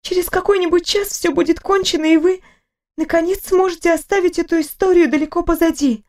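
A woman voicing a fussy, talkative young female nurse with a high, ringing voice. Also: steady tone, brisk, a little mellow. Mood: chattering.

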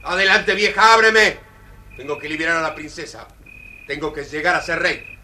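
An actor playing an arrogant, spoiled young son, imperious and insolent, in a children's audio story. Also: male voice, fairly high pitch, normal speed, sharp tone. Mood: impatient. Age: young adult. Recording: old record, distant from mic, background noise.

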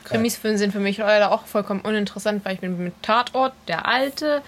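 A woman's voice: mid-range voice, a little fast, slight background noise. A woman chatting casually as a podcast co-host, with a dry, matter-of-fact manner. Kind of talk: casual group chat.

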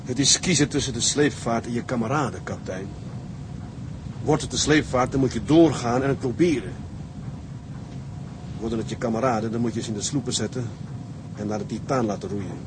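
An actor playing an earnest, dutiful young first mate in a radio drama, measured, grave and respectful. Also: male voice, close to an everyday voice. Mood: respectful urging. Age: young adult. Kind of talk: dramatic radio play acting. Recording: engine rumble behind the voice.

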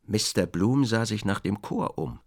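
A man's voice: on the low side, expressive, unhurried. A man narrating a literary radio drama as a storyteller, reading scripted prose.

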